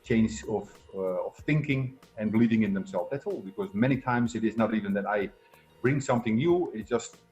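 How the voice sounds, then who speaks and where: A man's voice: fairly low pitch, a little fast, a little mellow, highs cut off, soft background music. Middle-aged Dutch-accented man, interview guest. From Netherlands. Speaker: guest, success coach and entrepreneur. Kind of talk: conversational interview.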